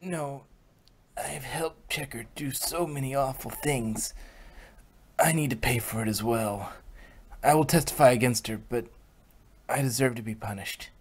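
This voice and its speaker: A man voicing a soft-spoken, earnest and remorseful male pony in a story reading. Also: medium pitch, measured pace, pauses often, natural voice. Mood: remorseful, grateful.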